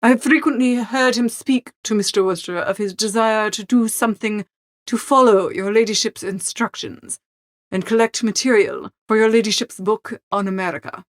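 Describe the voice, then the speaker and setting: An unflappable English manservant, played by a woman reader, speaks softly and formally. He keeps a measured, discreet, deferential tone in a dry storybook recording. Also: medium pitch, no echo, close to an everyday voice. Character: unflappable, deferential English valet, a male servant. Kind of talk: audiobook character acting.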